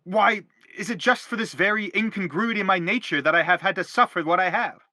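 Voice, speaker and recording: A man's voice: high voice, brisk, sharp tone, no echo, clean studio sound. An actor playing an anguished middle-aged father in a stage drama, pompous, wordy and insistent as he justifies himself. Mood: indignant, exasperated. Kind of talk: theatrical stage drama acting.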